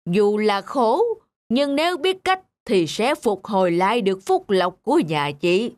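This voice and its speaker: An actor voicing a sly, greedy village sorcerer in an animated folk tale, making grandiose pronouncements in a pompous drawl. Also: male voice, high voice, no echo.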